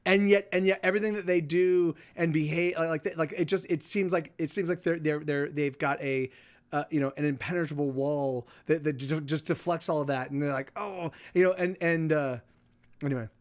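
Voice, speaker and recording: A man in his forties hosting a livestream, chatting casually with viewers in halting, self-interrupting sentences and frequent swearing. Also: on the high side, steady tone. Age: 40s. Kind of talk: rambling casual chat.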